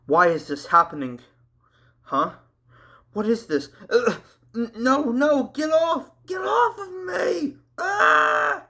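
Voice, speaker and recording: A man's voice: very high-pitched, animated, takes it slowly, bright, forceful tone, voice pushed up, heavily acted. A man voicing Andrew, a desperate young man screaming in panic. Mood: terrified.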